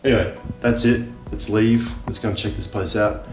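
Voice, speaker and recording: A man's voice: lowish voice, fairly even intonation, moderate pace. A man in his thirties chatting casually to camera as a travel vlogger, sounding weary, recorded on a camera held at arm's length. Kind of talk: casual vlog chat to camera. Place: outdoors by a street and in a hotel room. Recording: loud music over the voice.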